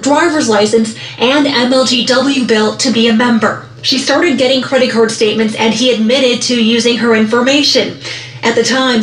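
A woman TV news reporter delivering a live report outdoors at night into a handheld mic, in a measured news delivery. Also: fairly high pitch, reverberant, rough recording. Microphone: handheld microphone.

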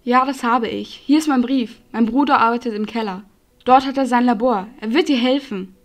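A woman's voice: a little high, normal speed, lightly acted. An actress playing an earnest young woman who helps out in a fantasy audio drama.

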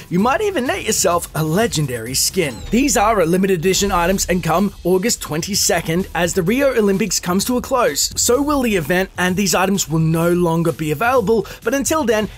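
A man reading scripted gaming news as a video host, in an upbeat promotional manner. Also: high-pitched. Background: music.

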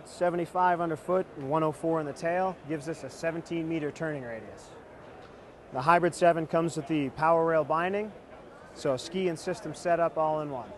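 A man's voice: a little high, slight background noise. A man in his thirties presenting skis at a trade show booth, talking in a confident, fluent sales manner. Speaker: ski company executive presenting products. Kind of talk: product demo presentation.

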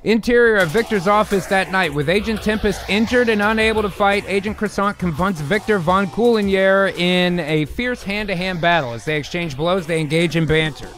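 A man in his thirties, excitable and sweary, hosting casually and reading scripts aloud as a dramatic narrator. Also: sits high, normal speed, hardly any pauses. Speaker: host of an improv movie-writing show. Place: home room, video call. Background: music.

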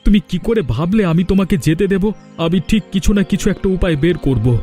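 Man acting a stern, mellow-voiced village family man. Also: high-pitched, expressive, brisk, soft background music. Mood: upset. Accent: Bengali. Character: stern, emotional men of a feuding village family. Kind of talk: Bengali cartoon voice acting.

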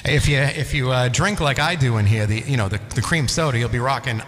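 A woman's voice: rumbling low, a little fast, slight background noise. A middle-aged woman hosting a music radio show with upbeat, joking DJ patter and casual banter, close on a broadcast mic. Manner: playful, joking. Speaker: radio DJ and host. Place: small home radio studio. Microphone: close broadcast mic on boom arm, headset. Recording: music playing under the voice. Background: loud music.

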